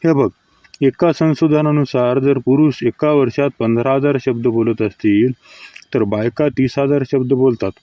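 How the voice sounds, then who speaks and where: Man playing a droll mid-pitched cartoon husband. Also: steady tone, normal speed, no room sound, highs cut off, close to an everyday voice. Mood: dry, teasing. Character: droll, bantering husband in comic skits. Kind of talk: cartoon comedy skit dialogue.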